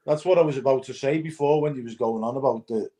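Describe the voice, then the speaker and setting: A man's voice: medium pitch, fast talker, dark tone. A man chatting casually as a fan panelist after a match, using many fillers and often checking that he is understood. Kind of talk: post-match reaction chat.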